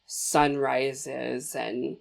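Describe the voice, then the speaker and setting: A woman's voice: very deep voice, little pitch movement, drawn-out words, vocal fry. A young woman hosting a casual movie commentary, chatty and opinionated, talking straight to viewers while sniffly from a cold.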